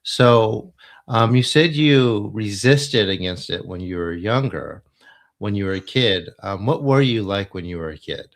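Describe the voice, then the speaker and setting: Host: man, low voice, slow and easy, curious questions. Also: lively intonation. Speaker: podcast host. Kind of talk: casual interview conversation.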